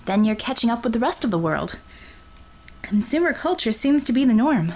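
A wry, kind young healer, as a woman reader voices her, with a light, teasing manner. Her voice is lifted a little above the narration, with lively pitch. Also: fairly quick. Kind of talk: audiobook character reading.

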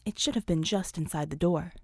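A woman's voice: lowish voice, brisk, a little mellow, slightly rough, no echo, studio quality. A woman reading scripted fiction as an audiobook narrator.

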